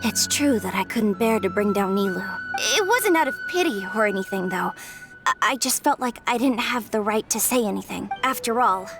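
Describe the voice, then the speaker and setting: An actress voicing a sincere, respectful young woman who speaks earnestly and a little haltingly. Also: medium pitch, lively intonation, close to an everyday voice. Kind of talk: video game voice acting. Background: music.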